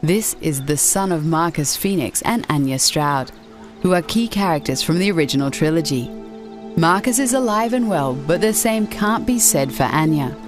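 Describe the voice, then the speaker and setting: A woman's voice: deep voice, lively intonation, moderate pace, over music. A woman reading scripted narration for a video list show about games.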